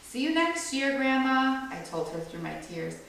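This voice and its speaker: A woman reading a picture book aloud to children as a storyteller, with animated delivery that acts out the quoted lines. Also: high-pitched, moderate pace, creaky. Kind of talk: expressive read-aloud storytelling. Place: echoey indoor room with children listening. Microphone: far from the reader. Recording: distant mic, very echoey room, faint noise.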